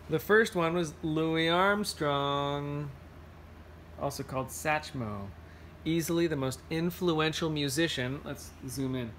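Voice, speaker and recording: A picture book reader with a medium-pitched voice takes rhymes slowly in a playful singsong, adding scat sounds and chatty asides for children. It is a homemade phone recording with faint noise behind. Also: a bit bright. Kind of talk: read-aloud to children, singsong rhymes and casual explanations.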